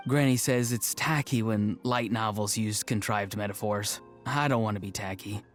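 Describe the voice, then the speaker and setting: An actor playing an earnest young grandson in a video game, wistful and solemnly overdramatic. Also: male voice, lowish voice, moderate pace, faint music behind, lightly acted. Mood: insistent. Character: earnest, melodramatic young grandson who loves vegetables. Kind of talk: video game cutscene acting.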